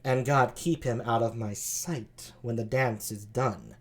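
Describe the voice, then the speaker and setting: A woman voicing a sultry, coy woman who flirts wryly at a dance, in a dramatic reading with drawn-out delivery. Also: a bass voice, voice pushed down.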